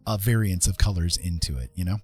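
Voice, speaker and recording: A man chatting casually on an art livestream, thinking aloud in a rambling, halting way as he works. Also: deep voice, a little mellow, breathy edge. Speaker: livestream host, digital artist. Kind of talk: casual chat while drawing on a livestream. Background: background music.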